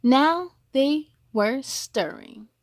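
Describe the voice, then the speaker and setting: Woman, storytime host, high pitch, slow read-aloud pace, expressive, encouraging, dry recording. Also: no room sound. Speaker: storytime host reading a children's book aloud.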